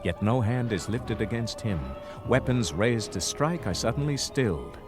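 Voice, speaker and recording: An actor playing a grave storyteller, narrating a radio drama in a poetic, dramatic style. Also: male voice, medium pitch, lively intonation, moderate pace, over music, lightly acted.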